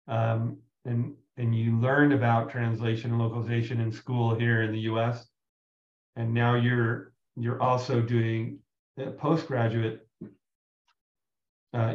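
A man's voice: mid-range voice, a little mellow. A man hosting an interview, asking long questions that he often restarts partway through. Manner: halting, restarts his questions. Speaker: interview host. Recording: roomy, dull lo-fi sound.

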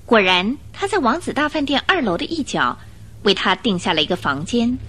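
A woman narrating a radio drama, reading a scripted story in an expressive, dramatic storytelling manner. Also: fairly high pitch, moderate pace, slightly rough.

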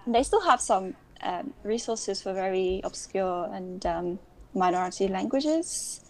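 A woman's voice: medium pitch, a little mellow, rough recording. Young woman, interview guest, talkative and digressive, mid-range voice. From United Kingdom. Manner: chatty and digressive, many restarts. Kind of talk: casual interview chat.

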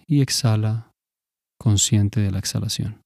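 A man leading a guided mindfulness meditation in a calm, measured, instructive manner. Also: lowish voice, gravelly, no room sound, clean studio sound.

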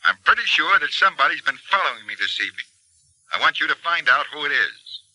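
An actor playing an urgent, secretive wealthy client, insistent and brisk, in 1940s radio drama style. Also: male voice, fairly high pitch, big pitch swings, pushed and bright, no echo, exaggerated voice, poor audio.

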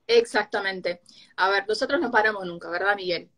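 A woman's voice: mid-range voice, a little fast, a bit bright. Brazilian woman with a Rioplatense accent. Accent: Uruguayan-Argentine Spanish. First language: Portuguese. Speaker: host. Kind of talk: casual live-stream chat.

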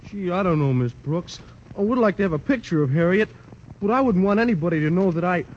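Bashful teenage jock, hesitant, high and lively, lo-fi, faint hiss. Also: male voice, quick pace. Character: shy lovesick teenage athlete, gentle and slow-witted. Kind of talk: 1940s radio sitcom acting. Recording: lo-fi hissy old radio broadcast.

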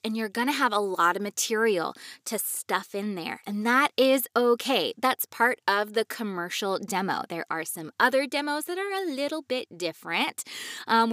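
A woman with a fairly high voice talks as a podcast host giving advice. She is conversational and reassuring. The sound is dry, with very little room in it. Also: pushed and bright, no echo.

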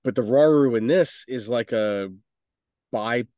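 A man's voice: medium pitch, a little slow, dark tone, no echo. A man co-hosting a casual podcast, talking in a rambling, opinionated way with some swearing. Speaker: podcast co-host. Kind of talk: casual chat about movies and video games.

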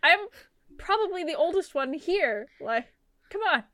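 Young woman cohost with a really high voice, rambly and sweary, dry close mic. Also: lively intonation, unhurried, bright, forceful tone, dry recording. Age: 20s. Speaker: podcast co-host. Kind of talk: casual chat.

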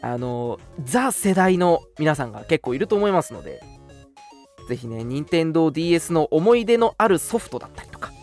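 A man's voice: a little high, big pitch swings, fairly quick, a little mellow, soft background music. A young man hosting a casual radio show, chatting politely with some self-deprecation. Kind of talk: casual radio chat and announcements.

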